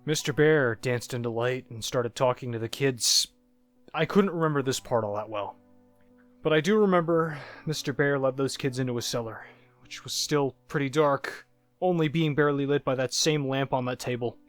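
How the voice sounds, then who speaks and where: A man voicing a haunted narrator who looks back on disturbing childhood memories in a first-person horror story, halting and reflective, like a confession. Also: medium pitch, natural voice. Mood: uneasy, recalling. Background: music.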